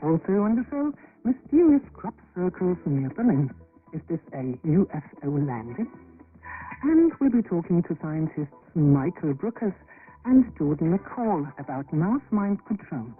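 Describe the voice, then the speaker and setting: A woman's voice: lowish voice, very animated, measured pace, faint music behind. A woman hosting a radio magazine show, reading from a script. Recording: muffled, narrow-band shortwave radio broadcast.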